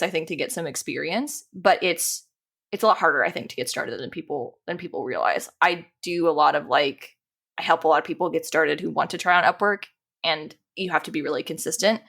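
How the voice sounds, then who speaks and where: A woman's voice: deep voice, gravelly, a bit bright. A woman chatting casually as an interview guest, informal and self-deprecating in the way she talks. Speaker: podcast guest, animator and dungeon master.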